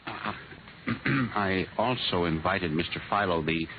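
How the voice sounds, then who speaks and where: A man playing an eager, nervous and deferential bureau employee, hesitant and excitable, in a 1950s radio drama. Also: sits low, measured pace. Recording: noisy narrow-band vintage radio recording.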